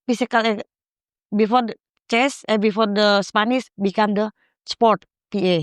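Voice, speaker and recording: A woman's voice: medium pitch, fairly even intonation, normal speed, clean tone, no room sound, studio quality. A woman who is the guest's wife, casually interpreting between Indonesian and simple English.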